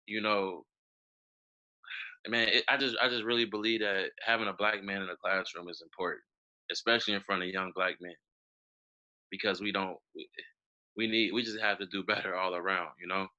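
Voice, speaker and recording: A man's voice: deep voice, fairly even intonation, normal speed, pauses often, pushed and bright, highs cut off. A young man from Chicago, an educator speaking earnestly as a podcast guest and often adding "you know". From Chicago, United States. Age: young adult. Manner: earnest, repeats words while thinking, frequent "you know". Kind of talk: conversational interview answer.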